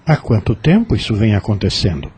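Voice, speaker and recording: A Brazilian man playing a calm, caring confidant who gently counsels in an audio drama. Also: fairly low pitch, a little fast, breathy edge, heavily acted. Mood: calm, probing.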